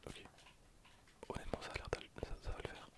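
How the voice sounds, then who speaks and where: ASMR game streamer: fully whispered, soft, casual delivery, thinking aloud while playing. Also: fast talker. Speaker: ASMR gaming video creator. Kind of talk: whispered ASMR gameplay commentary.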